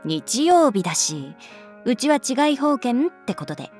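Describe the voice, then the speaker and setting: A woman playing a cool, caring teacher in a Japanese voice drama, talking in a laid-back, gently teasing way. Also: fairly high pitch, big pitch swings, measured pace, faint music behind. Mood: playful, teasing. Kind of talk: Japanese voice drama acting, conversational.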